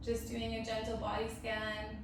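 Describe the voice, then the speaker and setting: A woman teaches a yoga class in a higher-pitched voice. Her manner is gentle and encouraging as she gives step-by-step guidance. She sounds set back from the mic in a very reverberant space. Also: completely flat delivery, moderate pace, gravelly, background noise. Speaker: yoga instructor. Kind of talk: guided vinyasa yoga class. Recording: very echoey, distant, music playing. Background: music.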